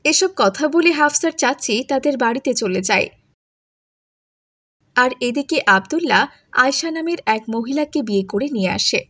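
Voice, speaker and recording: A woman's voice: high-pitched, expressive, normal speed, bright, forceful tone, no room sound. A woman reading scripted narration for a children's moral story.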